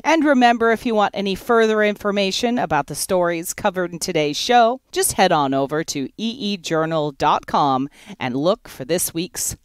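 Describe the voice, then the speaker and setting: Lively, chatty woman podcast host. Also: fairly low pitch, moderate pace, smooth, no room sound, studio quality. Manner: upbeat, chatty, playful. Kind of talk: podcast hosting and outro.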